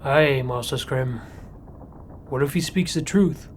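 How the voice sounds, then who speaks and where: A man's voice: medium pitch, slow pace, slight background noise, natural voice. A man playing a deferential, plain-spoken sailing ship crewman with rustic, archaic seafaring speech in an audio horror drama. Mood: dutiful, uneasy.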